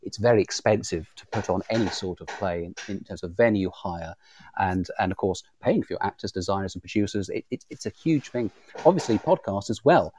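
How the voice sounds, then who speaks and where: A man's voice: deep voice, dark tone, slight background noise. A man with a British accent in an informal interview, often repeating words as he finds his way through a sentence. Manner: halting, repeats words as he goes. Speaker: audio drama creator, interviewer. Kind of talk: informal live conversation interview.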